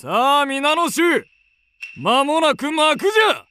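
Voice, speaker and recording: A man's voice: very high-pitched, very animated, slow, bright, forceful tone, slight background noise, heavily acted. A man playing a proud, coolly theatrical kabuki star in a period radio drama, with stately old-fashioned speech. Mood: haughty, rousing.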